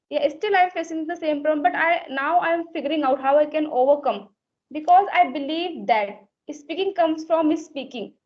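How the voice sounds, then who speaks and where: A woman from Uttar Pradesh with a North Indian accent, a native Hindi speaker, chatting in learner English and often repeating herself. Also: sits high. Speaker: English learner and conversation partner. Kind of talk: casual video-call conversation. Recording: muffled lo-fi call audio.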